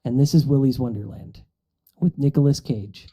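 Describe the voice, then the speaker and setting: A man's voice: mid-range voice, normal speed, mellow. A man chatting casually on a podcast about movies, telling things in a rambling, amused way. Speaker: podcast panelist.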